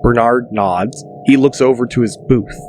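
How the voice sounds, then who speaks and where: A man narrating a scripted audiobook dramatically, in a deliberate, descriptive way. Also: medium pitch, darkish tone. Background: music.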